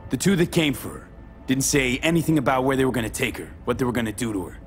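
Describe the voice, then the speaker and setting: An actor playing a grim but loyal street mercenary in a video game. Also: male voice, medium pitch, fast, faint music behind, lightly acted. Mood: pressing, suspicious. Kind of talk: video game dialogue acting.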